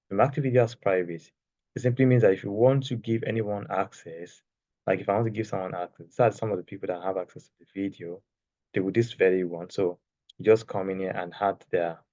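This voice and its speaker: A soft-spoken man teaching a casual online class while sharing his screen, talking loosely and checking in with "okay?". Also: sits low, dry recording, muffled recording. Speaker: course creation instructor. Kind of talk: screen-share tutorial, casual. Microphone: external microphone.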